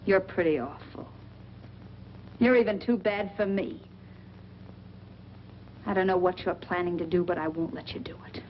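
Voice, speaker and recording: A woman's voice: fairly low pitch, moderate pace, pauses often, close to an everyday voice. An actress playing a shrewd, world-weary woman drawn to bad men, knowing and sardonic in a 1940s noir style. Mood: alarmed pleading. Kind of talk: 1940s film noir acting. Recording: dull, noisy old film soundtrack.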